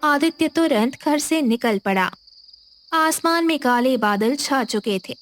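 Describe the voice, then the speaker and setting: A woman's voice: high voice, lively intonation, unhurried, faint music behind. A woman narrating a scripted moral tale as a storyteller.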